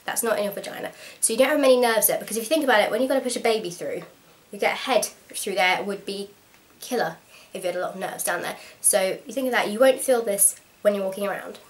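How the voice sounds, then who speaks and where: A young woman talks straight to camera in a chatty, frank, practical way, her voice a little hoarse from a cold. She explains things step by step at an everyday medium pitch, recorded at home on a camera mic. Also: fast talker, hardly any pauses. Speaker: vlogger. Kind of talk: casual instructional vlog.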